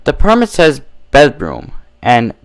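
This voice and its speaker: A dry, officious cartoon stallion inspector, read by a male narrator, speaks in a measured, matter-of-fact way. The delivery is lively in pitch and closely recorded in a storybook reading. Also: no room sound.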